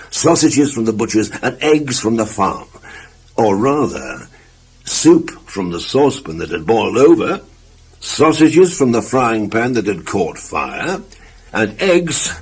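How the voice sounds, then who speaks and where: A man's voice: on the low side, slightly rough. A man narrating a scripted children's story expressively, with emphatic phrasing and asides to the listener.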